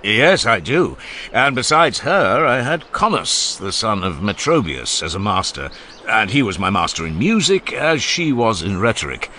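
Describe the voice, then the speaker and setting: Socrates, a wry old philosopher. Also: male voice, lowish voice, big pitch swings, moderate pace, pushed and bright, a little hiss or hum. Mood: ironic. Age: older. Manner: ironic, teasing, expansive. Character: Socrates, older male philosopher, wry and ironic. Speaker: voice actor. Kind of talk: dramatized audiobook reading.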